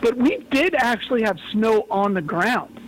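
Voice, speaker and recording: A man's voice: high-pitched, sing-song, normal speed, poor audio. A man chatting casually as a radio guest in a joking, conversational way. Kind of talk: casual chat. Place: radio studio.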